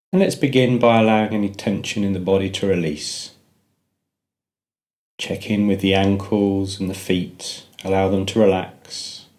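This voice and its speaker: Male meditation guide, low-pitched voice, gentle measured delivery with pauses.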